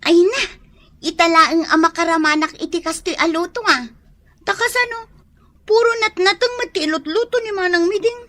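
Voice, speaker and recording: A woman's voice: extremely high pitch, normal speed, pushed and bright. A woman playing a whiny, complaining younger sister in an Ilocano radio comedy drama, melodramatic in manner.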